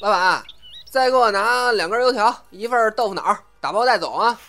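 A man's voice: high-pitched, lively intonation, moderate pace, pushed and bright, heavily acted. A man playing a cheeky, eager young crosstalk partner in an audio drama, colloquial. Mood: casual, eager. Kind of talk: Chinese audio drama acting.

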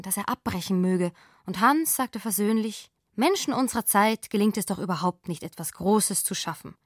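A woman's voice: mid-range voice, dry recording, clean studio sound. A woman reading literary radio drama in a composed manner with careful diction. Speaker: narrator.

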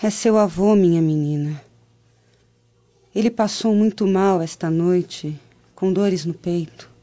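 Worried aunt, lowish voice. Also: female voice, slow pace, dark tone. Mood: grave concern. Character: worried, gentle Roman aunt. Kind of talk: radio drama acting. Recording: dull lo-fi radio drama audio. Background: music.

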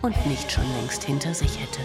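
An actress playing a solemn preacher, giving a measured mock sermon in a radio play. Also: female voice, low voice, animated, over loud music. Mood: solemn preaching. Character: solemn preacher addressing her congregation with absurd war sermon.

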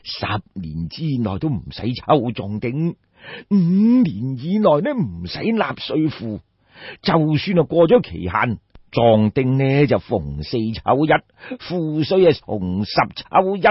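A man's voice: mid-range voice, big pitch swings, husky, no echo, full character voice. A man voicing a sighing old peasant, dull recording. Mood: earnest, hopeful. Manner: sighing, plaintive, drawn-out emphatic phrases. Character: old destitute peasant man, sorrowful and respectful. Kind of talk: Cantonese storytelling audiobook. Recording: dull, muffled lo-fi audio.

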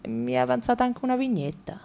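A woman's voice: on the high side, animated, fast, creaky, a little mellow, slight background noise. Woman narrating with wry irony. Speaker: radio play actress. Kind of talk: satirical narration.

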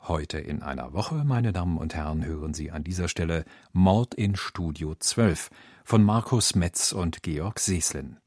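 Low, husky male radio announcer. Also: expressive, unhurried, a little mellow, dry recording, studio quality. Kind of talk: scripted programme preview.

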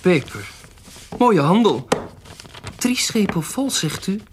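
An actor playing a self-important, flattering town magistrate with a husky voice and a courtly, fussy manner in a period audio drama. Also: male voice, high-pitched, takes it slowly, noisy background, full character voice. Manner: courtly, fussy, repeats himself.

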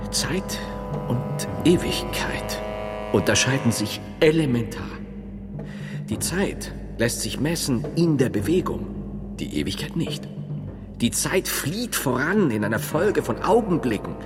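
In a long cavernous reverb with music underneath, a solemn medieval friar-philosopher in a radio play. He lectures slowly and with measured modesty, his pitch swinging very widely. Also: big pitch swings. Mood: solemn, lecturing. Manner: measured, didactic, philosophical phrasing. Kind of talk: German radio play acting.

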